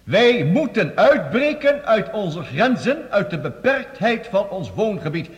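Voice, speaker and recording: A man's voice: high-pitched, big pitch swings. An actor playing an eloquent, sardonic scientist-statesman, giving theatrical formal debate speeches in a radio play. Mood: solemn, urgent. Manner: theatrical, oratorical, pompous, with dramatic pauses. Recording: long reverberant hall, radio play production.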